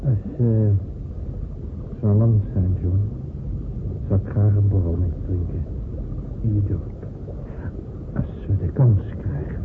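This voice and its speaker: An actor playing a gruff, laconic seaman who patiently hears out a comrade in a Dutch radio play. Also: lowish voice, steady tone, a little slow, long pauses, close to an everyday voice. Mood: gruff, reassuring. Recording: tinny, noisy old radio play recording.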